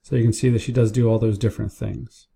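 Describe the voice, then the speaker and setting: A man's voice: on the low side, fairly even intonation, quick pace, creaky, mellow, breathy edge. A man narrating a casual screen-share tutorial, explaining things informally step by step as a business owner training staff. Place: indoors at a computer, keyboard and mouse clicks.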